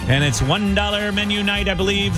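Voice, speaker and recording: A man hosting a live radio show, joking with co-hosts and running through a quick sports rundown. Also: high-pitched, music in the background. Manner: brisk, joking, reads listings quickly. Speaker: radio host.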